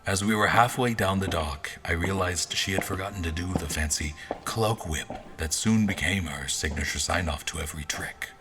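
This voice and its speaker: A man playing a guarded royal officer in disguise, recounting his memories in formal, deliberate audio drama narration. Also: sits low. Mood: wary, wry. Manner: formal, literary, deliberate phrasing. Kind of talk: scripted first-person audio drama narration. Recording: music behind the voice.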